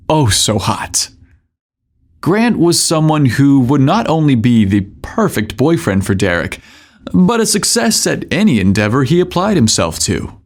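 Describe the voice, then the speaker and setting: Male podcast host, scripted story narration, lively swooping intonation, medium pitch, theatrical suggestive delivery.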